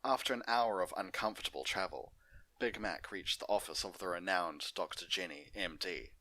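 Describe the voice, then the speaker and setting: A man's voice: sits low, moderate pace, bright, forceful tone, dry recording. A man hosting and reading fan fiction aloud as an amateur narrator. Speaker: host and story reader.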